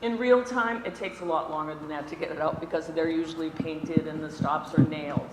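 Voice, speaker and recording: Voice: mid-range voice, fairly quick, background noise. Instructor demonstrating in a reverberant hall. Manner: practical, step-by-step explaining while handling tools; chatty with self-deprecating asides. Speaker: window restoration instructor. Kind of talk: live hands-on workshop demonstration. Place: indoor workshop room before an audience.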